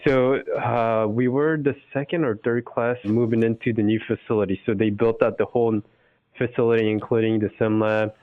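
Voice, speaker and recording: A young man from South Korea, a dentist speaking politely and carefully as a podcast guest, pausing to find words. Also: medium pitch, fairly even intonation, no echo, narrow, tinny audio. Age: young adult. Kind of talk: casual podcast interview.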